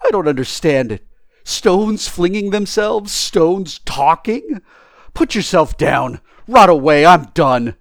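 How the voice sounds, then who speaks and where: A man's voice: high voice, lively intonation, takes it slowly, vocal fry, no room sound, studio quality, voice pushed up, full character voice. A man voicing a bewildered, indignant tramp in a storybook, stubborn and blustering, with coarse colloquial speech. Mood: baffled. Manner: colloquial dropped grammar, blustering. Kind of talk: acted audiobook storytelling.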